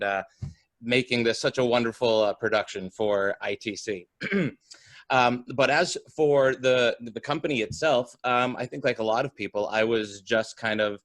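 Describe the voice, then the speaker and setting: A man speaking conversationally and haltingly as a theater founder in an informal post-show talk. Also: mid-range voice, fairly bright tone. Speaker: theater company founder and actor.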